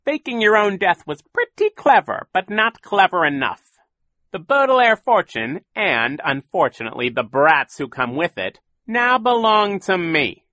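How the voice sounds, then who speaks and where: A man voicing a sneering, greedy villain captain who mocks children loudly. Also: high voice, very animated, measured pace, dry recording, voice pushed up, full character voice. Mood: gloating. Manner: mocking, gloating, loud.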